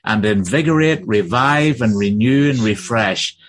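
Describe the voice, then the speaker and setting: A man opening a church livestream with announcements, then praying slowly and fervently. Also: fairly low pitch, animated. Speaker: church leader leading prayer.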